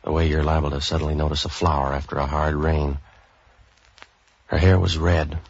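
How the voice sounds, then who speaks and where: An actor playing a wisecracking, street-wise boat-for-hire man in 1940s radio style, clipped, sardonic and deadpan, both in narration and dialogue. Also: a bass voice, normal speed, gravelly, heavily acted. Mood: wistful, deadpan. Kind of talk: 1940s hard-boiled radio acting, first-person narration. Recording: dull old 1940s radio recording.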